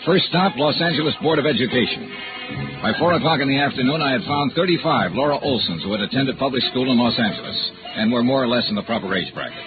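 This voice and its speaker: An actor playing a hard-boiled insurance investigator, terse and dry, in an old radio detective drama with narration. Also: male voice, mid-range voice, animated, fast, close to an everyday voice. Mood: dry, matter-of-fact. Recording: tinny old radio recording, music behind.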